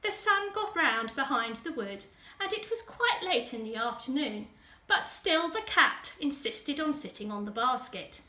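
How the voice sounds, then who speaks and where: An English woman narrates a children's storybook in a bright, high voice. She reads with animated, dramatic emphasis for young listeners. The room echoes around her. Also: unhurried. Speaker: school teaching assistant reading a story. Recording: roomy echo, distant microphone.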